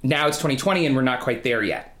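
A man's voice: mid-range voice, lively intonation, fast talker, fairly bright tone. A man speaking as a journalist on a discussion panel, explaining things off the cuff in winding, hesitant sentences. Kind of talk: unscripted analytical discussion. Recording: echoey, roomy sound.

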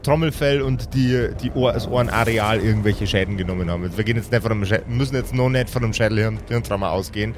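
Male game master over clear background noise. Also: on the low side, fast, few pauses. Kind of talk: improvised narration of a tabletop game.

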